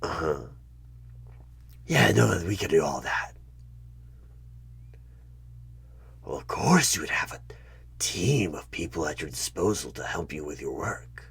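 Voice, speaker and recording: A man voicing a sly, coaxing demon recruiter in a husky whisper for a horror ASMR audio roleplay. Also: very deep voice, sing-song, fairly bright tone, heavily acted. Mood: smooth salesman persuasion. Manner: slow, drawn-out, insinuating, persuasive.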